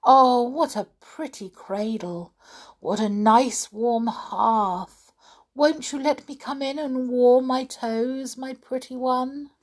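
A woman voicing a mischievous male hobgoblin who coaxes and teases in a high, sly voice. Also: fairly even intonation, takes it slowly. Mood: wheedling. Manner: sly, coaxing, teasing.

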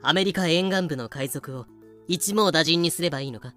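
A man playing a greedy, excitable privateer captain in a comedic voice drama, in a comically dramatic manner. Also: fairly high pitch, a little fast, faint music behind. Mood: gleeful greed.

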